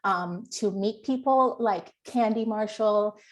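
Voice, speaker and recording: A woman's voice: medium pitch, slow pace. Earnest woman in her 30s from guyana, grateful story, talking over a video call. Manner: earnest, grateful, many um fillers. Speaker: communications manager, former scholar. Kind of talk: personal testimony on a video call.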